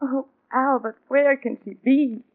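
Seeking a worried American wife, high plaintive voice, insistent, old-time radio drama through narrow bandwidth. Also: female voice, steady tone, a little slow, faint music behind. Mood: fretful, plaintive. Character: anxious, fretful wife searching for her missing mother. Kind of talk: 1940s radio drama acting. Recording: tinny narrow-band old radio recording.

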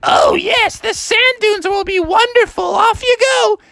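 A storyteller voicing a brisk, no-nonsense mother frog who is bossy and shrill. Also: gravelly, bright, forceful tone, dry recording, clean studio sound, heavily acted. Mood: breezy.

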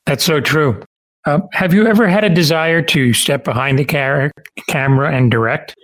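A man's voice: on the high side, moderate pace, fairly bright tone. A man interviewing a guest in a casual, informal style, feeling his way through his questions.

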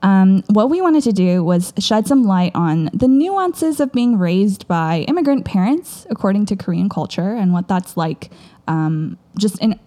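A woman with a Southern Californian accent, co-hosting a casual podcast, chatty and quick to laugh and exclaim. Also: medium pitch, expressive, warm tone. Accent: Southern Californian American. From United States. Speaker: podcast co-host.

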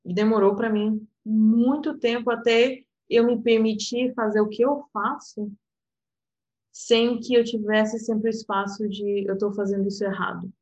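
A woman's voice: mid-range voice, steady tone, measured pace, darkish tone, muffled recording. A woman from Portugal with a European Portuguese accent, an interpreter talking reflectively and personally, often laughing and adding "sabe?". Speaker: interpreter and guest. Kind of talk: reflective casual conversation.

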